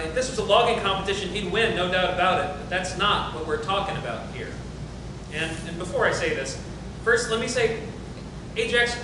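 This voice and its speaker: An actor reading a diplomatic working man who argues his case in a deliberate, folksy, reasoning way in a stage drama. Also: high-pitched. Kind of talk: theatrical table reading. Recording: very echoey room, clear background noise.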